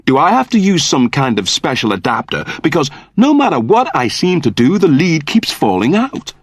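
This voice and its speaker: A man's voice: a little high, huge pitch swings, moderate pace, no room sound, clean studio sound, voice pushed up. A man voicing an eager, fussy male android who has just become human, prim, wordy and naively earnest, in an audiobook comedy. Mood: fussy, embarrassed. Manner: prim, wordy, over-polite.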